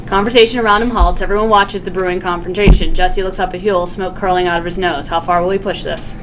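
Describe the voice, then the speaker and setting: A woman's voice: fairly low pitch, steady tone, fast. Woman narrating a script amid room noise. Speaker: stage-direction reader. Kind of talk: scripted narration at a table read. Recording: noisy room ambience.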